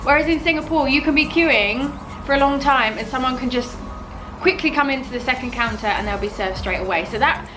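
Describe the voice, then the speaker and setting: A young British woman in her twenties, talking to camera in a casual, animated vlog and using "like" a lot. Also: high voice, brisk, low-quality recording. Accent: British English. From United Kingdom. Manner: chatty, animated, fills with "like". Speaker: vlogger. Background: background music.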